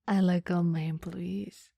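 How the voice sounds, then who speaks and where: A woman playing a coy, flustered female boss in a flirty ASMR roleplay, speaking in soft, breathy whispers with a teasing, hesitant manner. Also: on the low side, no echo, full character voice. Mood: flirty, admiring.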